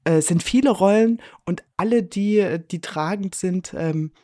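A woman's voice: fairly low pitch, measured pace, mellow, no echo, clean studio sound. A woman speaking as an interview guest and radio drama director, chatting in a colloquial, enthusiastic way. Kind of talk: casual interview conversation.

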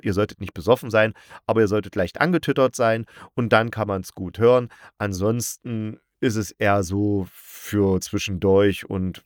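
A man's voice: fairly low pitch, dry recording, clean studio sound. A man giving a casual, opinionated review monologue as a podcast host, rambling and correcting himself in blunt colloquial language. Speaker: podcast reviewer of audio dramas.

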